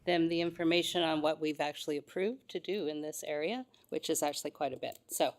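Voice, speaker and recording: A woman chairing a city council meeting, brisk and businesslike. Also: low-pitched. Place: council chamber.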